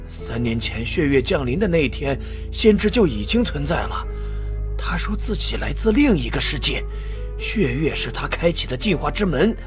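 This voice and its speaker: High, animated fanatical captive scientist. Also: male voice, moderate pace, over music. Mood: ominous, persuasive. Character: captive male scientist, fanatic about evolving humanity. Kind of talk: animated motion-comic drama dubbing.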